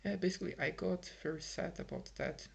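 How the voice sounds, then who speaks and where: Man, Brazilian accent, Portuguese native speaker, husky, slightly high voice, rambling frustrated vlog. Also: steady tone, brisk. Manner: frustrated, rambling with many fillers and restarts. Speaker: vlogger. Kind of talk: casual vlog monologue. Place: home in Ireland.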